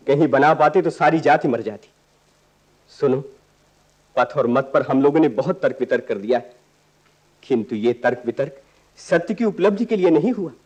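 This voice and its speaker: A man's voice: a little high, lively intonation, moderate pace, pauses often, darkish tone. A male actor playing an impassioned, stubborn young idealist in a radio play, with earnest, oratorical delivery. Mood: impassioned, lecturing. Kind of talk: Hindi radio play acting.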